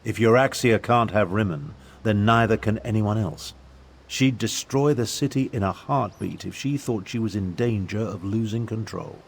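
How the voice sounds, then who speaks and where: A man's voice: lowish voice, normal speed, vocal fry, breathy edge. A man playing a pompous, disdainful elder statesman and battle mage in a fantasy video game. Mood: grave, disdainful. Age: older. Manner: pompous, self-assured.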